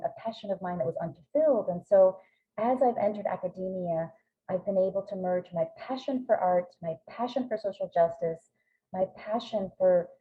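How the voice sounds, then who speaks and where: A woman whose first language is Greek, giving an academic webinar talk in an earnest way with frequent ums. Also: mid-range voice. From Greek American family. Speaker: presenting researcher. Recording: tinny phone-like line.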